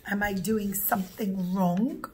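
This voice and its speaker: A woman storyteller voicing a calm, protective male rabbi who reasons firmly. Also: medium pitch, a little slow, slightly rough, pitched below the performer's own voice.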